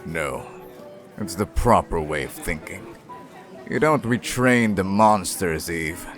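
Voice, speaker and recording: A man's voice: deep voice, lively intonation, soft background music. A man acting in an audio roleplay as a stern, unyielding middle-aged father who hunts monsters, speaking in a righteous, disapproving manner. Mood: stern, insistent. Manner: righteous, slow and measured, disapproving.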